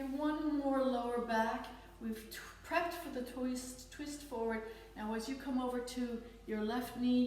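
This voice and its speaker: A woman fitness instructor with a slight Dutch accent, leading a guided stretching class with gentle, encouraging cues. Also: a little high, far from the mic. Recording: echoey room, voice off-mic.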